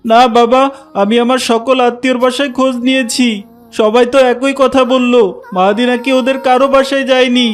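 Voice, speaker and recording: An anxious middle-aged cartoon dad, acted by a man, with an extremely high voice. His intonation stays rather flat. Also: moderate pace. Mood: worried. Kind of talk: animated cartoon dialogue.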